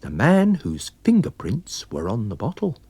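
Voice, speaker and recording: A man voicing an affable, observant wine salesman and amateur sleuth, polite and gently pointed, in a dramatised story reading. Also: lowish voice, wildly swooping pitch, normal speed, breathy edge, dry recording, full character voice. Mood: eagerly explaining.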